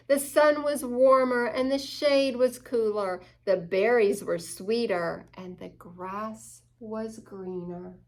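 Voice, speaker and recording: Woman, children's story reader, slightly high pitch, very slow pace, expressive, deliberate delivery. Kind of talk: children's picture-book read-aloud with asides to young listeners.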